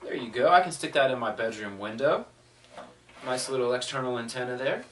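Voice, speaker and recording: A man's voice: medium pitch, animated, fairly quick, a bit bright. Man, casual unboxing review, thinking aloud, distant mic, light background noise and clicks. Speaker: product reviewer.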